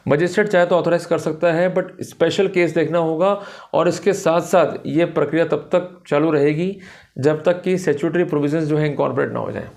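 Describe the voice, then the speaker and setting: A man's voice: on the high side, fairly even intonation, brisk, gravelly, darkish tone. A man lecturing on law in a didactic, emphatic way, explaining points to viewers and slipping into formal English phrases.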